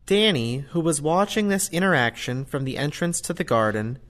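A man's voice: fairly high pitch, expressive, moderate pace, fairly bright tone. A man narrating an audio play, reading scripted stage directions plainly.

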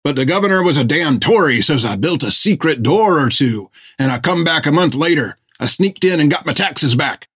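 Guy doing a rough proud sailor carpenter, higher pitched, rustic talk, dry sound. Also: animated, moderate pace, hardly any pauses, a bit bright, dry recording. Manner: rustic, stiff and eager. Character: proud, rough male ship's carpenter, former thief.

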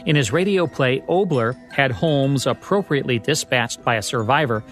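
A man's voice: mid-range voice, moderate pace, faint music behind. An American man reading scripted narration as the host of a radio show.

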